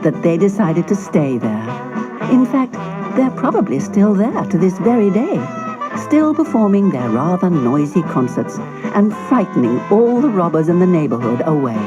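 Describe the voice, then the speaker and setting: A woman narrating a children's fairy tale in an expressive, dramatic storytelling manner. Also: on the low side, normal speed, poor audio. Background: music.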